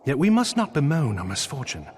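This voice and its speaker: A man's voice: medium pitch, huge pitch swings, brisk, a little mellow, a little hiss or hum. An actor playing a gracious, loyal nobleman knight in a video game, speaking in courtly, formal phrasing. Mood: grave, formal. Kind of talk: scripted video game dialogue.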